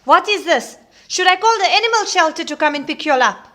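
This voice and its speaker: An actress playing a firm, exasperated Islamic class teacher in an audio drama, scolding with brisk authority. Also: female voice, very high-pitched, lively intonation, bright, forceful tone, heavily acted.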